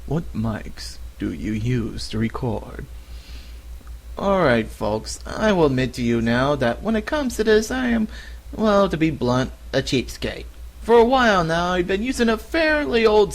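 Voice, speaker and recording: A man in his thirties with an American accent, an amateur voice actor giving a question-and-answer monologue on a cheap microphone. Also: a little high. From United States. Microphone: cheap flexible desktop microphone.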